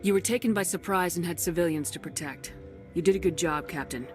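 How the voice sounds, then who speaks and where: An actress playing a composed, businesslike military agent, the direct-spoken hero of a video game. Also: female voice, fairly low pitch, brisk, over music, lightly acted. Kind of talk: video game cutscene acting.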